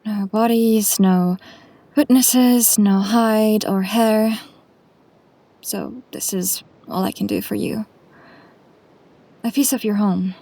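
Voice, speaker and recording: A woman's voice: mid-range voice, steady tone, slow, creaky, pauses often, dark tone, no echo. A woman playing a weary, resolute, grieving heroine in a fantasy audio drama, solemn and restrained. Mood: grieving, resigned. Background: music.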